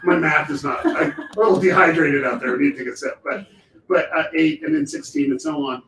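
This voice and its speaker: Excited man hosting from a film set. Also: a little high, fairly quick. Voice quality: loud voice. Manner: excited, chatty, keeps voice down for filming. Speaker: co-founder hosting a livestream. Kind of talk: casual livestream chat. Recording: echoey, distant mic with faint background noise.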